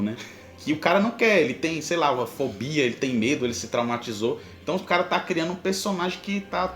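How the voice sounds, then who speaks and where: A man's voice: medium pitch, fairly bright tone. Brazilian man in a roomy echo. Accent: Brazilian Portuguese. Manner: explanatory, informal, addresses the listener directly. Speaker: podcast host. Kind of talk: conversational advice with examples.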